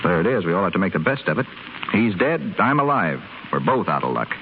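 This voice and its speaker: A man's voice: sits low, sing-song, brisk. An actor playing a spoiled, restless young rich man in a hospital, sardonic and wisecracking, in 1930s radio drama style. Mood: bitter. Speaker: hospital patient. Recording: tinny, faintly hissy 1930s radio broadcast.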